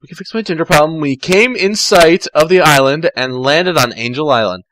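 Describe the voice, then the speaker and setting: A man narrating a story in the first person as an amateur reader, halting and often rereading his phrases. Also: fairly high pitch, a bit bright, a little hiss or hum.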